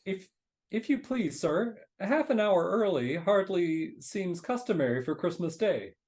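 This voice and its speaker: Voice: medium pitch, expressive, a little slow, darkish tone, lightly acted. A performer reading a meek, polite male frog clerk, hesitant and deferential, in a live dramatic reading. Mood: timid. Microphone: video call.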